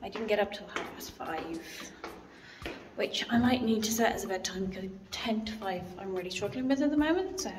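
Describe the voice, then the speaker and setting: A woman's voice: mid-range voice, lively intonation, a little fast, noisy background. A woman, chatting casually through a walking vlog, rambling with lots of "so" and "um", filmed on a handheld phone. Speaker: vlogger. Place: outdoors on town streets and indoors at home. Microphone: phone held at arm's length.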